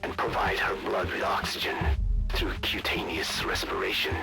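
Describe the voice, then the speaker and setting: A man playing a grave older scientist in a video game cutscene, speaking in a hushed, breathy rasp with clinical deliberation. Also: low voice, very animated, normal speed, exaggerated voice. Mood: clinical, somber. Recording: music behind the voice.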